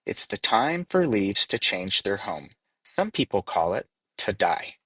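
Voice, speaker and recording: A man voicing a wise, calm, reassuring male leaf in a storybook, explaining things matter-of-factly. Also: lowish voice, measured pace, breathy edge, dry recording, full character voice. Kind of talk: storybook read-aloud.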